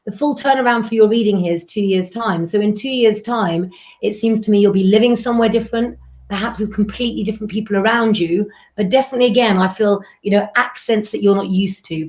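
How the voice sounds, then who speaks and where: A woman with a husky voice giving a chatty, reassuring tarot reading on a live stream. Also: medium pitch, darkish tone. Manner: reassuring, chatty, slows for emphasis. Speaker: psychic tarot reader.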